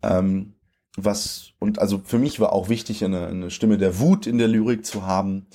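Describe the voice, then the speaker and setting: Man, late twenties, low voice, mellow tone, thoughtful interview talk, pauses and fillers. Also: fairly even intonation. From Germany. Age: late 20s. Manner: thoughtful, searching, frequent fillers and restarts. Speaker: poet.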